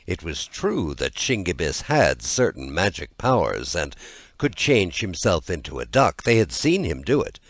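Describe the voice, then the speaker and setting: A man with a low voice narrates a fairy tale, his intonation rising and falling freely. The delivery is measured and expressive, and the sound is dry and close, with very little room. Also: no echo. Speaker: audiobook narrator.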